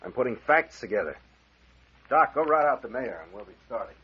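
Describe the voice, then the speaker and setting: An actor playing a brisk, commanding, hard-boiled captain and investigator with a wry edge in an old-time radio mystery. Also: male voice, medium pitch, animated, slightly rough. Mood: brisk, suspicious. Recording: muffled old radio drama recording.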